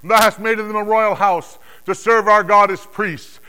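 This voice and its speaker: A fervent heavenly being played by a man proclaims praise from scripture. The voice is raised high and loud, ringing out in drawn-out, exalted declamation. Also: steady tone, slight background noise.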